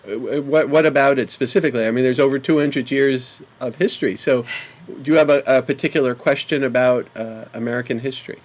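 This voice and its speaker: A man's voice: medium pitch, fast talker, a little hiss or hum. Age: adult. Sex: man. Role: conversation-show host. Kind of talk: casual chat.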